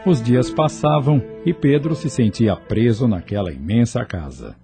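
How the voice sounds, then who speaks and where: A man's voice: medium pitch, animated, measured pace, over music. A man announcing and narrating a scripted radio drama.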